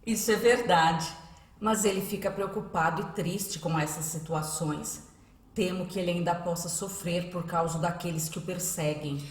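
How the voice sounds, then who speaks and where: A woman acts a caring, pious Brazilian mother in a radio drama. Her voice is a touch low, earnest and concerned. She sounds set back from the mic in an echoing room. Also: steady tone, normal speed, close to an everyday voice. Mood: worried. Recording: echoey room, distant from mic.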